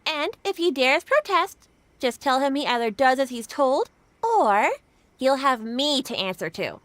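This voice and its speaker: An actress playing a courteous, protective female cousin in a video game, gracious yet quietly bossy. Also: sits high, big pitch swings, measured pace, dry recording, heavily acted. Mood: gracious, firm. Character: polite, protective elder female cousin, gracious but firm.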